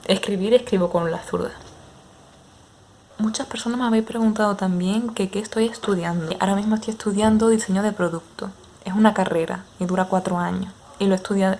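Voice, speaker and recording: A young woman in her twenties with an Andalusian accent, answering viewers' questions in a relaxed, hesitant way with many fillers. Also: mid-range voice, gravelly, slight background noise. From Andalusia, Spain. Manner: unhurried, hesitant with frequent fillers. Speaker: ASMR creator. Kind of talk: casual Q&A chat with viewers.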